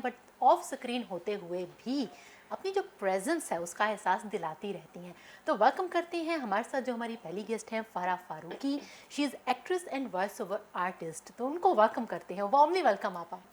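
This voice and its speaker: A woman's voice: mid-range voice, slight background noise. A woman with a Pakistani accent hosting a TV talk show, effusive and emphatic, often mixing in English.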